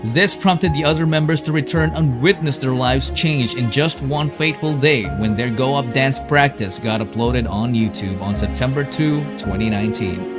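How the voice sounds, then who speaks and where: A man's voice: mid-range voice, normal speed, hardly any pauses. A man reading scripted narration as a documentary narrator. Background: background music.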